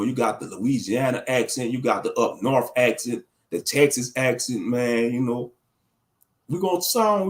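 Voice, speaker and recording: Male livestream commentator; loose, slangy, preachy ramble while reading the chat. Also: on the high side. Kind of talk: casual livestream talk answering chat.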